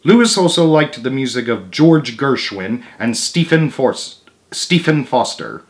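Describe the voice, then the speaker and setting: A man's voice: mid-range voice, big pitch swings, measured pace. Young man reading a children's book aloud. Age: young adult. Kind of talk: expressive read-aloud narration with casual asides. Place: small living room at home, indoors.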